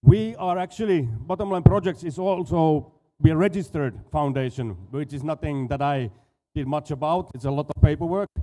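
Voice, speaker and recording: A man's voice: fairly high pitch, normal speed, few pauses, warm tone. A Finnish-accented man in his forties giving a casual expo stage talk, often stumbling and repeating words. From Finland. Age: 40s. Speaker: conference speaker, dive school owner.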